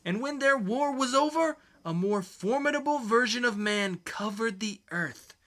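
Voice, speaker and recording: A man performs a crybaby dictator villain in an amateur comic dramatic reading. The voice is high and piercingly bright, swinging widely in pitch. The delivery is pompous, grandiose and melodramatic. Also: expressive, measured pace, heavily acted. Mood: ranting, gloating.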